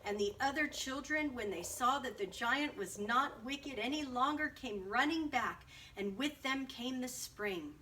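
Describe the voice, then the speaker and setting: A woman narrating a children's story aloud in an expressive read-aloud style. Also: high-pitched, unhurried, hardly any pauses. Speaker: storybook reader. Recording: distant mic, faint background noise.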